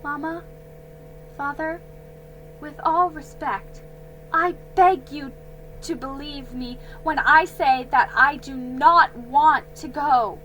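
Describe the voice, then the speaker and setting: A woman's voice: high voice, slow, sharp tone, a little hiss or hum, voice pushed up, heavily acted, low-quality recording. A woman voicing a polite, distressed young woman who pleads in a shaky voice. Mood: pleading.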